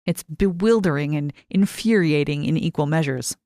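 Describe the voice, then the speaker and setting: Lady narrating a short story, lowish voice, measured and expressive, really dry close studio sound. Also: dry recording. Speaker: short fiction reader.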